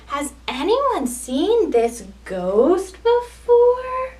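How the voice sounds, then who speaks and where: A woman's voice: extremely high pitch, huge pitch swings, drawn-out words, dark tone, pitched above the performer's own voice, full character voice. A woman voicing a friendly, curious toad in a children's storybook, exclaiming and questioning. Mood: curious, suspenseful.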